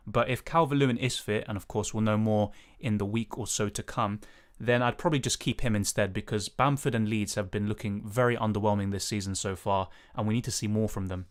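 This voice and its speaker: A young man with a slightly raspy voice, casually answering chat questions on a live stream with lots of fillers. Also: lowish voice, fairly even intonation, a little fast. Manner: casual, hedging, many fillers. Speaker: fantasy football streamer.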